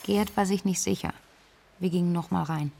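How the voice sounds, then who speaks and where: A woman's voice: lowish voice, fairly even intonation, moderate pace, vocal fry, warm tone. An actress playing a composed, clinically precise medical woman, recounting events interview-style in a radio drama. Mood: subdued.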